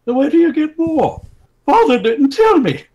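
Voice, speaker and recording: A man's voice: very high voice, sing-song, moderate pace, a little mellow, voice pushed up. A man voicing a hapless, exasperated galactic king in a comic story, with theatrical, pleading delivery. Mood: defensive.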